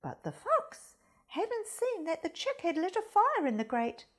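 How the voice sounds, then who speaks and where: A woman storyteller reading a children's storybook expressively, with theatrical, deliberate phrasing. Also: very high voice, sing-song. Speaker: storyteller, primary school reader.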